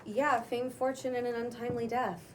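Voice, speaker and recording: A dry, skeptical young woman, played by a woman at a table read, curious and casual in delivery. Her voice is on the high side, a little off the mic with faint noise behind it. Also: little pitch movement, moderate pace, distant mic, close to an everyday voice. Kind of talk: cold table read of a pilot script.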